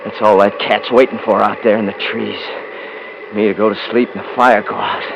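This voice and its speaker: An actor playing a domineering, scornful eldest-brother rancher in a 1950s radio drama, with folksy Western speech. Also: male voice, fairly low pitch, little pitch movement, moderate pace, vocal fry, lo-fi sound. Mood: drowsy, muttering. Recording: hissy 1950s radio recording.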